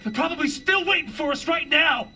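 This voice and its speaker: An actor playing an urgent, pleading military rescue operative in a video game cutscene. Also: male voice, very high-pitched, brisk, sharp tone, far from the mic, faint music behind, full character voice. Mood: desperate, pleading.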